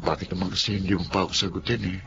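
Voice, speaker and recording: A man's voice: low voice, fairly even intonation, racing, vocal fry, over music. A man playing a teasing young birthday host. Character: young man hosting his birthday party, joking and hopeful. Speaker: Andrew, party host. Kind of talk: Tagalog radio drama acting. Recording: dull lo-fi audio with faint hiss.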